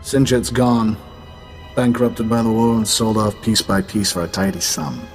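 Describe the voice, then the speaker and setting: An actor playing a shady retired tycoon in a video game, guarded, brusque and condescending. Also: male voice, lowish voice, fairly even intonation, unhurried, natural voice. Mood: dismissive, guarded. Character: wary, dismissive, shady retired male tycoon. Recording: faint game music behind the voice.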